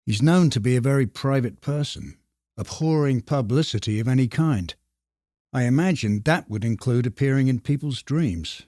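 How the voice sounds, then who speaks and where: A man speaking as a podcast co-host in a measured, deadpan and slightly formal conversational manner. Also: medium pitch, dry recording, clean studio sound.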